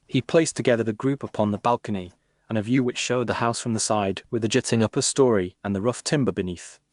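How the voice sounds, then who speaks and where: Male audiobook narrator: lowish pitch, measured, formal storytelling, dry recording. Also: no room sound. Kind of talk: scripted literary narration in first person.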